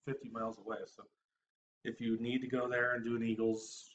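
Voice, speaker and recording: A man's voice: medium pitch, monotone, fairly quick, fairly bright tone, muffled recording. A middle-aged man co-hosting a casual beer review, easygoing and stuffy-nosed. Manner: easygoing, laughs often. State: stuffy nose. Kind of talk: casual chat. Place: small room with shelves of beer bottles. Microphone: webcam recording from a distance. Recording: distant webcam sound with faint hiss.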